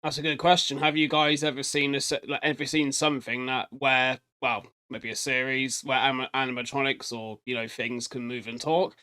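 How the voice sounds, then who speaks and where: A man chats casually as a reaction host. He rambles through his thoughts in a mid-pitched voice and often turns to address the viewers directly. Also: flat delivery, brisk, hardly any pauses, pushed and bright, clear, resonant tone. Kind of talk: casual chatty reaction commentary.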